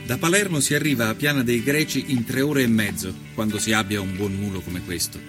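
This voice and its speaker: A man's voice: mid-range voice, brisk, natural voice. An actor playing an observant nineteenth-century journalist, reading his travel diary as scripted narration in speech. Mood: admiring, descriptive. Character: male journalist of the 1890s, observant, admiring traveller. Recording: music behind the voice. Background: music.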